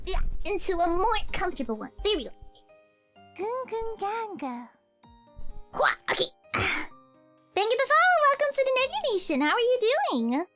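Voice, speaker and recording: A young woman with a very high voice chats on a livestream, rambling and giggling as she answers viewer questions. She often corrects herself mid-sentence. Soft music plays faintly behind her. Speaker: streamer and cover singer. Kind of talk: casual livestream Q&A chat.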